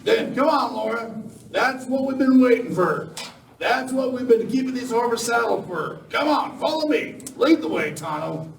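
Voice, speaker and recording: A man's voice: extremely high pitch, expressive, normal speed, vocal fry, heavily acted. A man playing a plain-spoken, folksy Western sheriff in a live amateur radio play. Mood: urgent, rousing. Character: plain-spoken small-town Western sheriff, guarded, then rallying his posse. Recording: distant, roomy live recording with faint noise.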